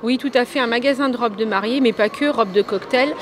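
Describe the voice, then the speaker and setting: A woman organizing a wedding fair, answering quickly and enthusiastically into a handheld mic, with many fillers. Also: on the high side, noisy background. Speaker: event organizer and shop owner. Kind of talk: interview answer. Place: indoor exhibition hall.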